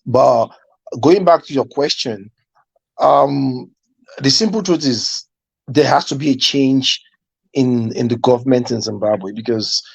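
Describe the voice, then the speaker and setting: A man from Nigeria calling in to give his opinion, speaking in a measured way with many fillers. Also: medium pitch, creaky, slightly rough, no echo, rough recording. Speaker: caller. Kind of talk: call-in opinion.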